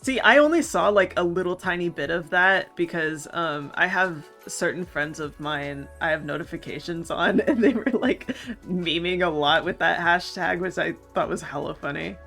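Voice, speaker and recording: A woman's voice: lowish voice, normal speed, gravelly, a bit bright. A woman chatting casually and jokingly as a panelist on a podcast. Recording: music behind the voice.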